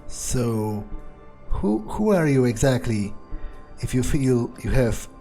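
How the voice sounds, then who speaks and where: A man's voice: medium pitch, very animated, takes it slowly, warm tone, soft background music. A man narrating a video game playthrough in character, thinking aloud in a hesitant, understated way. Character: calculating, detached vampire mercenary for hire. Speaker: player voicing their character in a let's play.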